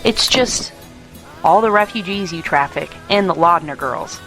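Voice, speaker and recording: A woman's voice: fairly low pitch, measured pace, music in the background, low-quality recording. An actress playing a wary, disapproving woman fighter in an audio drama, probing and restrained.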